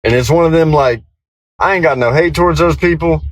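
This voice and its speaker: A man's voice: on the high side, a little fast, poor audio. A man, recounting his exit from a job in a defiant, rambling monologue. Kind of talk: candid monologue in a played clip.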